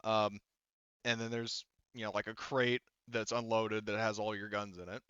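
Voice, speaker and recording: Bright-voiced man running a tabletop game. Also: on the low side, flat delivery, smooth, dry recording, muffled recording. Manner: halting, informal, frequent "like" and "you know". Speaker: game master. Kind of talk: improvised narration of a tabletop roleplaying game.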